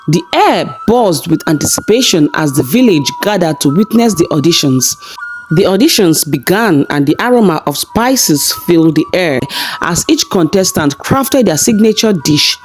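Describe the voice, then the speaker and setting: A woman's voice: fairly low pitch, a little mellow. A woman narrating a scripted folktale as a storyteller.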